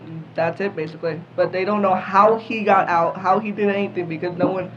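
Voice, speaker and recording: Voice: medium pitch, fairly even intonation, fairly quick. A young podcast panelist talking casually in a group discussion. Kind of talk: casual chat. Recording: muffled audio with faint room noise.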